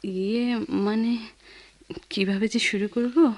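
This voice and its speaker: An actress with a Bangladeshi accent playing a caring, persistent young family friend in a radio drama, with earnest, emphatic theatrical delivery. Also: female voice, on the high side, fairly even intonation, takes it slowly, darkish tone. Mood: hesitant. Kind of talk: Bengali radio drama acting.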